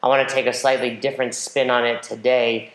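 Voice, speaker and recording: A man's voice: mid-range voice, level tone, a little fast, fairly bright tone. Direct male podcast host, echoey. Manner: joking, direct, coach-like. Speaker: podcast host and nutrition coach. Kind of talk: casual podcast chat. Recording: roomy echo.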